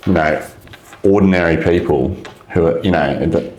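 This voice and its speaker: Very deep-voiced Australian host. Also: male voice, normal speed, darkish tone, reverberant. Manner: easygoing, thankful, short backchannels. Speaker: podcast host. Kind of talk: casual interview chat.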